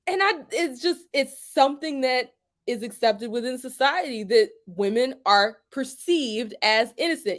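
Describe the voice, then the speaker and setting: Brief: high-pitched young woman commentator, loose and emphatic, laughs often, streetwise slang. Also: a bit bright. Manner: emphatic, keeps asking "okay?", laughs often, swears; colloquial, drops into "y'all" and "ain't". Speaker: YouTube commentator. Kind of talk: casual opinion monologue.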